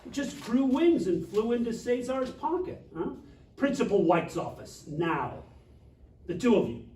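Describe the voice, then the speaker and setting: An actor playing a sarcastic, confrontational teacher of fifty in a live comedy table read. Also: male voice, very high-pitched, very animated, unhurried, dark tone, exaggerated voice. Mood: sarcastic, angry. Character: hard-ass, sarcastic male high school teacher, fifty. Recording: echoey room, distant mic, faint noise.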